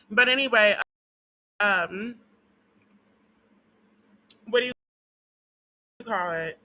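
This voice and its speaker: Woman vlogger, hoarse, losing her voice; very bright, tense tone; rambling, profane delivery. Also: on the low side, low-quality recording. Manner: rambling and profane, with frequent "um" and "what do you call it". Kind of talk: casual rant to camera.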